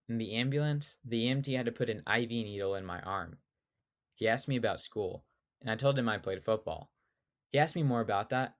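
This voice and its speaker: Earnest teenage boy reading his essay. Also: lowish voice. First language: Spanish. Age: teens. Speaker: student essayist. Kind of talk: scripted personal essay reading.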